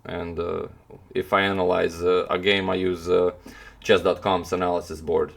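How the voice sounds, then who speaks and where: Young Croatian man, deep voice, halting casual streamer chat. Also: flat delivery, gravelly. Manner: hesitant, many fillers and restarts. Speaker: chess streamer answering chat.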